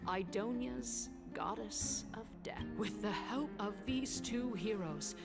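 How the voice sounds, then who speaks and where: Grandiose council elder, music behind. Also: female voice, fairly high pitch, takes it slowly, gravelly. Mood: grand, persuasive. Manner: grandiose, formal oratory. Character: proud, persuasive female council elder in a fantasy game. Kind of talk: scripted fantasy game acting.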